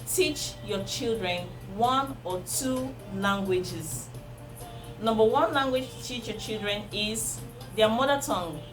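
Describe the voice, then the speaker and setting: A woman's voice: medium pitch, rough recording. A woman with a Nigerian accent, a native Igbo speaker, giving earnest parenting advice straight to her viewers and repeating key points for emphasis. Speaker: parenting vlogger. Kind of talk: advice talk to camera. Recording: music playing under the voice.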